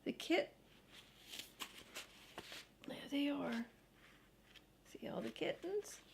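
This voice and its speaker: An older woman reads a children's book in a grandmotherly way. Her pace is very unhurried and her voice has a noticeable creak. She speaks deliberately and adds chatty asides for little listeners. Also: sits high, animated, background noise. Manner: slow, deliberate, grandmotherly read-aloud for young children. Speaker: storybook reader. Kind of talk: reading a children's book aloud with casual asides.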